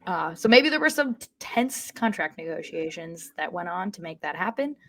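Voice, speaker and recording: A woman's voice: on the low side, lively intonation, moderate pace, bright, forceful tone. A woman co-hosting a soccer fan podcast in casual conversation. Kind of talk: casual sports chat.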